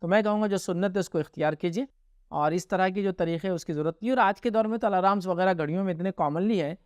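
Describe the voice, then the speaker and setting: A man's voice: high-pitched, fast talker, smooth, dry recording. A man with an Indian accent answering religious questions informally as a scholar, explaining and often checking that listeners follow.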